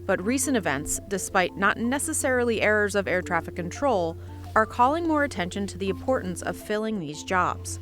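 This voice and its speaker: A woman's voice: mid-range voice, animated, normal speed, fairly bright tone, faint music behind. A woman reading scripted narration for a news documentary report.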